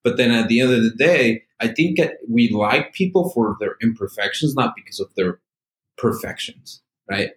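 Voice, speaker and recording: A man's voice: medium pitch, lively intonation, moderate pace. A man with a Mexican accent in casual conversation, speaking frankly and plainly about self-acceptance. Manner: frank, casual, swears, playful laughs. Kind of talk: casual reflective chat. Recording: echoey room.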